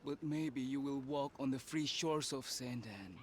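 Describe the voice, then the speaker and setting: A man's voice: mid-range voice, measured pace, crowd noise, close to an everyday voice. An actor playing a dying shipwrecked sailor in a video game, weary, grave and resigned to his death. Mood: grim recounting.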